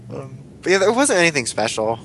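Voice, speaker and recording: A man's voice: a little high, sing-song, fairly quick, a crowd in the background. Giggly man in casual podcast chat. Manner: laughing, mocking, lots of silly quoted voices. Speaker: podcast co-host. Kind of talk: casual chat reviewing an anime episode.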